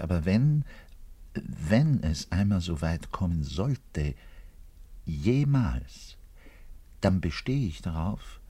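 An actor playing an embittered, self-pitying middle-aged double bassist in a rambling, theatrical radio monologue, pedantic and given to muttering and indignant declaiming, with a husky voice. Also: male voice, mellow, exaggerated voice. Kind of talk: theatrical radio play monologue, confiding to a listener.

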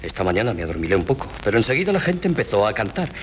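An actor playing a dry, put-upon doctor posing as a husband in a 1970s radio comedy, with an accent from Spain. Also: male voice, fairly low pitch, very animated, racing. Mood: grumbling. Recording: noisy 1970s radio broadcast.

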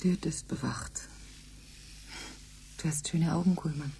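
An actress playing a yearning, desperate but tender colonist in a radio drama, speaking intimately, often half-whispering. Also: female voice, low-pitched, measured pace, vocal fry, warm tone, slight background noise. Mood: soft, flirtatious. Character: yearning, desperate colonist woman, tender yet stubborn dreamer. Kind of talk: German radio drama acting.

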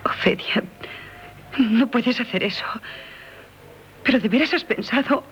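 Actress as devoted, insistent young lover. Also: female voice, fairly high pitch, a little slow, muffled recording, close to an everyday voice. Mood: pleading. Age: young adult. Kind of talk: 1970s radio drama acting. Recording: noisy 1970s radio broadcast recording.

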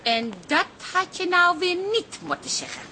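A jealous middle-aged woman in a radio drama, blunt and quick to accuse. Her voice is high and moves up and down a lot, over clearly noisy ambience. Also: animated, sharp tone, exaggerated voice. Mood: furious, accusing. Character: Toos, jealous, suspicious, hot-tempered middle-aged daughter. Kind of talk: Dutch radio drama acting.

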